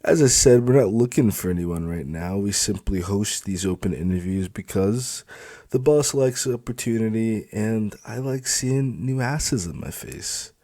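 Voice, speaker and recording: A man's voice: on the low side, unhurried, warm tone, dry recording, studio quality, voice pushed up. Man playing a smug, crude strip club supervisor. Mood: dismissive, smug. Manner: sneering, exasperated, mouthy. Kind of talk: dramatised audiobook dialogue.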